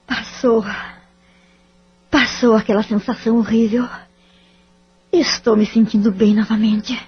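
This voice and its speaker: A woman's voice: on the high side, level tone, unhurried, husky, highs cut off. An actress playing a troubled mother who feels tormented in her mind, speaking in an anguished tone.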